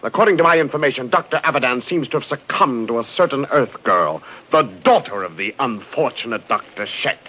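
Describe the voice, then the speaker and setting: An actor playing a deferential, insinuating accuser, speaking formally in a 1950s radio drama. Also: male voice, mid-range voice, animated, brisk, hardly any pauses, sharp tone, breathy edge. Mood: sly, deferential. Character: smooth-tongued, deferential male accuser at court. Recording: dull old radio recording.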